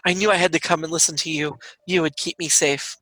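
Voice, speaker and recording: A man's voice: a little high, steady tone, brisk, bright, forceful tone, no echo, poor audio. A man voicing an eager, submissive male doctor in a bawdy parody story read aloud. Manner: breathy gulping eagerness.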